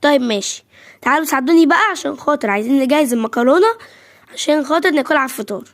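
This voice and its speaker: A woman's voice: sits high, racing, lightly acted. A woman voicing a bossy middle-aged mother with an Egyptian accent, handing out chores and scolding. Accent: Egyptian Arabic.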